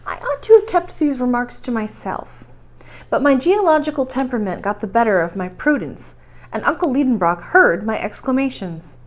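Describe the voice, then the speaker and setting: A woman narrates a story from the page as a storyteller. Her voice sits a little high and moves expressively up and down through the sentences. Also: very animated, normal speed. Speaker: storyteller reading aloud. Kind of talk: expressive scripted audiobook narration.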